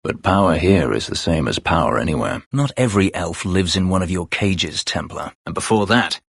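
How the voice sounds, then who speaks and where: An actor voicing a brooding, bitter elf warrior and escaped slave in a video game, grave, sardonic and measured, with a husky, gravelly voice. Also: male voice, low voice, animated, no echo, exaggerated voice. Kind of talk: video game character acting.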